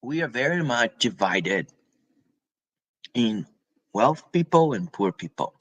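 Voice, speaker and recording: A man from Brazil, presenting a spiritual talk in a halting, informal way with frequent restarts and tag questions, coughing now and then. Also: mid-range voice, sing-song, rough recording.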